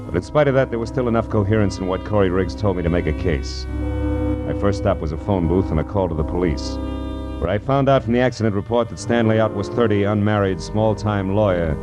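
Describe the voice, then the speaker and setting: Hard-boiled detective, low register, American accent, sardonic, weary, vintage radio crime drama, music underneath. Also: male voice. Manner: sardonic, dry wisecracks. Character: wry, world-weary male private detective. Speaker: actor, lead and narrator. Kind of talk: 1940s radio detective acting, narration and dialogue. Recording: old radio recording with music behind.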